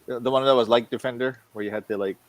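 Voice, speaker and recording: A middle-aged man in casual, informal conversation, given to animated exclamations. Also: medium pitch, racing, no room sound. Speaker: podcast co-host. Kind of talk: casual chat.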